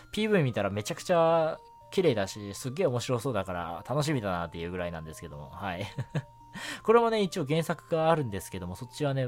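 A man hosting a casual solo radio show, talking in a chatty, rambling way and often interrupting himself. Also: on the low side. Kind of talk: casual solo radio chat about anime.

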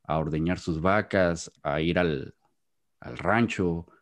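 A man's voice: low-pitched, takes it slowly. A man with a Jalisco Mexican accent, a podcast guest in a casual interview who talks at length and often restarts his words, recorded over a video call. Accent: Mexican Spanish, Jalisco. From Guadalajara, Mexico.

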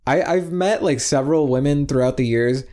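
A man's voice: medium pitch, normal speed, warm tone. A young man chatting casually on a podcast over a headset mic, rambling with lots of fillers and swearing freely. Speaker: podcast co-host. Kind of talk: casual chat.

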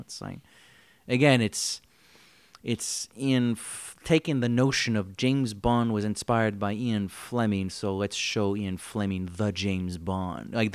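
A man's voice: lowish voice, vocal fry, no echo. A man co-hosting a podcast in casual chat, wry and chatty, thinking aloud with fillers. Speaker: podcast co-host.

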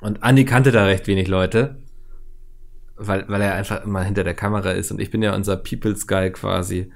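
A man's voice: lowish voice, fairly even intonation, a little fast, vocal fry. A man co-hosting a casual podcast, reading listener letters aloud and joking along. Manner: reads listener letters aloud, jokey, laughs a lot. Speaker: podcast co-host.

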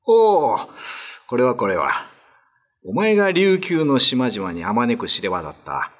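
A man's voice: medium pitch, big pitch swings, a little slow. A man playing a greedy, foolish, ambitious island lord in a radio drama, with pompous, old-fashioned lordly speech. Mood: pompous, curious.

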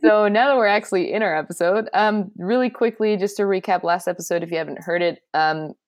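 A young woman co-hosting a podcast in a relaxed, easygoing way, laughing often. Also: mid-range voice, brisk, dry recording, studio quality. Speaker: podcast co-host. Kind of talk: casual chat.

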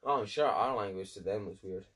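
A teenage Scottish boy with a husky voice, co-hosting a podcast with his friends. He talks in an excitable, casual way and swears freely. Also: fairly low pitch, a little fast. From Scotland. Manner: excitable, swears, laughs a lot. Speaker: podcast co-host. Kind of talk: casual chat among friends.

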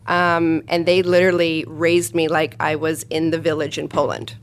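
A woman's voice: deep voice, flat delivery, normal speed. Chatty woman from Canada. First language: Ukrainian. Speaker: podcast guest, business owner. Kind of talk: casual chat.

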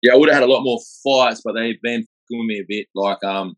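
A man's voice: mid-range voice, fairly quick. An Australian man in his thirties, a guest telling his life story in a plain-spoken, rambling way with restarts and the odd swear word. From Australia (Perth). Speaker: guest, gym owner and boxer. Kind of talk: casual storytelling in an interview.

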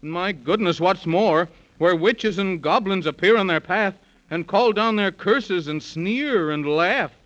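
A male storyteller with a fairly high voice recites rhymed narration for an old children's radio serial. His delivery is dramatic and sing-song, and the vintage recording sounds dull. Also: a bit bright.

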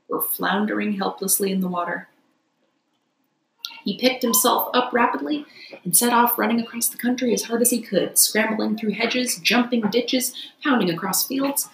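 A woman's voice: medium pitch, roomy sound, rough recording. A woman narrating a classic children's story expressively, as an audiobook reader.

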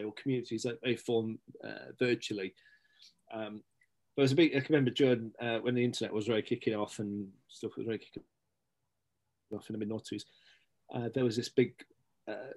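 A man's voice: medium pitch, a little mellow. Rambling middle-aged man. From Scotland-born, raised in Stapleford, England. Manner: rambling, many fillers and restarts. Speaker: guest, local magazine editor. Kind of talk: casual interview chat.

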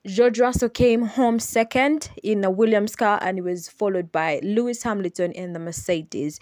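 A young adult woman with a Ugandan accent, hosting a solo podcast and explaining things in an unhurried way with some hesitant fillers. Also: medium pitch, a little mellow, no echo, clean studio sound. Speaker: podcast host.

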